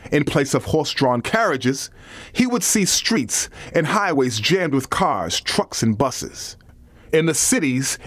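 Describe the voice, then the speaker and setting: A man's voice: fairly high pitch, dry recording. A man reading a nonfiction audiobook as a scripted narration.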